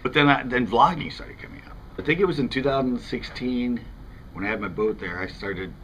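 A man's voice: mid-range voice, fairly quick, fairly bright tone, muffled recording, a little hiss or hum. A middle-aged American man in his fifties, a fishing channel host talking casually to camera in a rambling, conversational way. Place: outdoors in front of a house window.

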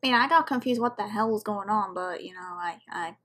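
A woman's voice: mid-range voice, fairly quick, sharp tone. A woman giving casual reaction commentary as she watches.

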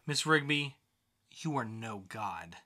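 A man's voice: medium pitch, lively intonation, bright, forceful tone, slight background noise, voice pushed down. A man as a stern, scolding judge. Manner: slow, emphatic, scolding. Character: stern, indignant male courtroom judge. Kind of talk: comic book dramatic reading.

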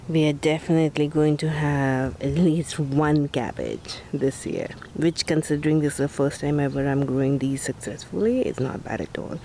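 A woman giving a relaxed, casual tour of her backyard vegetable garden, with soft fillers along the way. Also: low voice, slight background noise.

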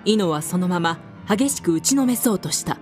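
A woman's voice: lowish voice, expressive, fairly quick, music in the background. A woman narrator reading scripted narration for a literary radio drama.

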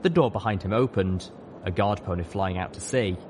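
Low-voiced man narrating fiction. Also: moderate pace, background noise. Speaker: audiobook narrator. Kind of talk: dramatic scripted fiction narration.

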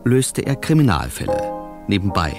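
Deep, wry storytelling reporter. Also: male voice, huge pitch swings, moderate pace. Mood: wry introduction. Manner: wry, tongue-in-cheek. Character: wry male reporter narrating his detective memoirs. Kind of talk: scripted first-person radio play narration. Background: music.

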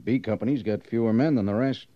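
An actor playing a thoughtful senior army major at a frontier fort, musing and deliberate, in an old-time radio western. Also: male voice, medium pitch, lightly acted. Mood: wry, probing. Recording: muffled old radio recording.

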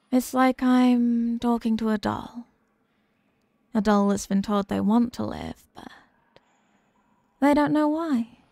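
Woman acting a slow, haughty demon queen. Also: on the high side, gravelly, dark tone, dry recording. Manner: condescending, mocking, deliberate and teasing. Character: haughty, sadistic, possessive demon queen toying with a human. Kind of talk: scripted ASMR roleplay addressed to the listener.

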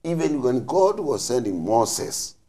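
A man's voice: mid-range voice, sing-song, takes it slowly, warm tone. A man, a bishop on a panel, explaining emphatically in short, preacherly phrases. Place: TV studio. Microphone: lapel mic.

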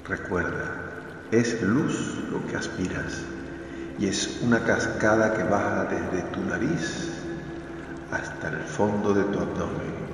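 Slow, deep-voiced man in cavernous reverb. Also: fairly even intonation, background noise. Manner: soothing, deliberate, repeats phrases gently. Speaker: meditation guide, video host. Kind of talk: slow guided meditation narration. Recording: cavernous long reverb over music. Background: music.

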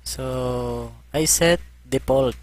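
Very slow, halting man presenting a tutorial. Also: medium pitch, no echo. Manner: halting, hesitant delivery. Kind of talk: unscripted software tutorial.

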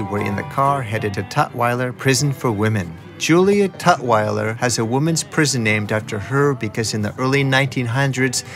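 A man's voice: medium pitch, a bit bright. Guy narrating a radio doc, reading from a script, faint music around. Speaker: documentary narrator.

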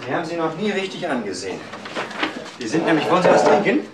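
An eager bar owner in a radio crime drama. He pushes along quickly with very wide pitch swings. The recording is echoey and noisy. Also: very animated, distant mic, a loud crowd. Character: eager, pushy husband running a bar, pitching plans. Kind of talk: German crime radio play acting.

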